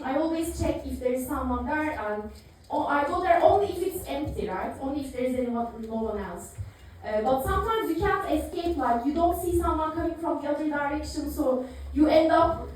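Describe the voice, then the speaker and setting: Lady in her 30s doing deadpan standup, lots of ums, echoey venue. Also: high-pitched. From Turkey. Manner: dry, self-mocking, with many fillers and small laughs. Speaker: stand-up comedian. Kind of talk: stand-up routine. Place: live comedy venue, audience laughing. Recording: echoey room picked up from far off.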